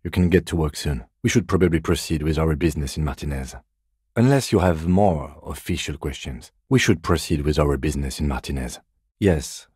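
A restrained, courteous police lieutenant for a video game. His voice is deep, dark and husky, delivered in a measured deadpan with a dry, sardonic edge. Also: no echo, exaggerated voice. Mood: calm, formal. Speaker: game character, police detective. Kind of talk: video game dialogue voice lines.

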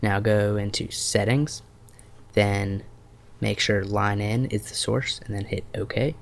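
A man's voice: fairly low pitch, fairly even intonation, slow, gravelly. A man narrating a step-by-step computer tutorial as its host.

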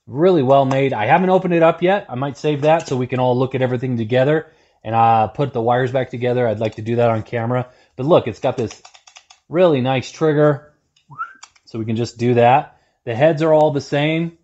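A man's voice: medium pitch, brisk, a little mellow, muffled recording. A man in his thirties hosting a casual how-to repair demonstration in an indoor workshop, talking in a plain-spoken, self-deprecating way. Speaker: welding video host. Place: indoor workshop with corrugated metal wall.

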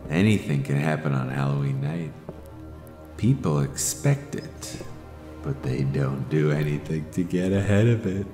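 Actor as very deep, sly, sinister stalker. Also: male voice, measured pace. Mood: ominous. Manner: sly, teasing, ominous. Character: sinister, bloodthirsty male stalker, slyly playful. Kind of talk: audio drama dialogue and narration. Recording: echoey long reverb under loud music.

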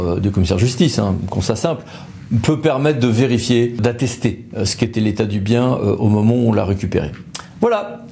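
Man explaining, mellow voice. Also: fairly low pitch. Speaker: lawyer presenting an explainer video. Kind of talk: explanatory legal talk to camera, semi-improvised.

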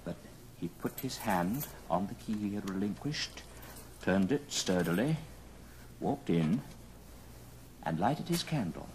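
A man narrating a story dramatically, with deliberate pauses, in old radio drama style. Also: sits low, lively intonation, a little mellow, slight background noise, low-quality recording.

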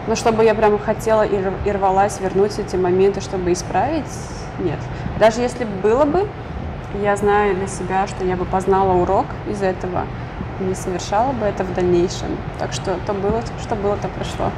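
A woman's voice: mid-range voice, a little fast. A young woman from Uzbekistan, a guest in a casual interview, answering in an earnest, thoughtful way from a lounge. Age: young adult. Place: lounge with leather sofas and bookshelves, indoors. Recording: noisy room ambience.

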